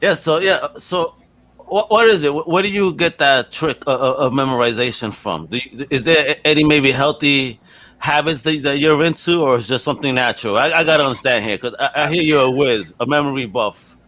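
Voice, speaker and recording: A man's voice: mid-range voice, fairly quick, a bit bright. A man hosting a podcast in a casual, encouraging, slangy and effusive way over a video call. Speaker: podcast host. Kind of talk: casual chat interview.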